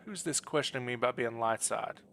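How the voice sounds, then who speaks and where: Bright-voiced man chatting on a stream. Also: mid-range voice, brisk, creaky, a little hiss or hum. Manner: friendly, rambling, thinking aloud. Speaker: game streamer. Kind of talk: casual livestream chat over gameplay.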